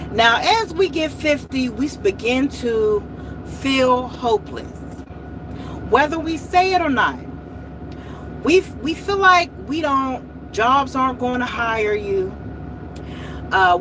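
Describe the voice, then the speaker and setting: A woman's voice: on the high side, noisy background. A woman in her late forties hosting a motivational vlog, speaking in a repetitive, encouraging way and often saying y'all. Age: 40s. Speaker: host.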